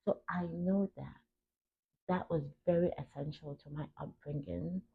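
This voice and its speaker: The sound is narrow and phone-like. A young woman speaks in a fairly low, creaky voice. She hosts in a reflective, personal way, earnest and emphatic, breaking her thoughts into short phrases. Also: a little slow, slight background noise. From Cameroon. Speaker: podcast host. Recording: tinny phone-like narrow band.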